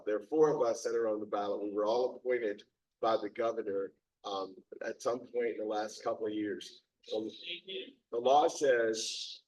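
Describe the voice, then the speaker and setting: A hoarse man in his fifties, a judge, speaking at a community meeting with many pauses and restarts. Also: on the low side, a little mellow, far from the mic, low-quality recording. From Maryland, USA. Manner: halting, many ums and restarts. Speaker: circuit court judge. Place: meeting room.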